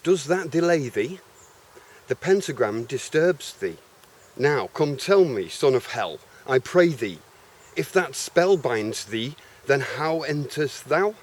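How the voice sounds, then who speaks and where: A man's voice: mid-range voice, expressive, slow, voice pushed up. A man voicing a proud male scholar in a raised, declaiming theatrical reading. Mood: challenging. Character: learned man, proud scholar confronting the devil.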